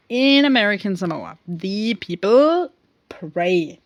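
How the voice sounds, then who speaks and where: A woman recapping a film in a casual, rambling way, with many pauses and fillers. She speaks English as a second language and often goes off on tangents. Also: mid-range voice, dry recording. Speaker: film reviewer.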